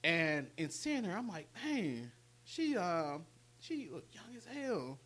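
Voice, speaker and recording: A man in his late thirties with a somewhat high, congested voice co-hosts a podcast. His nose sounds stuffed up from allergies. He talks casually and rambles, circling back over his own phrases. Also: sing-song, slow pace, a bit bright. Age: late 30s. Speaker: podcast co-host. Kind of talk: casual chat.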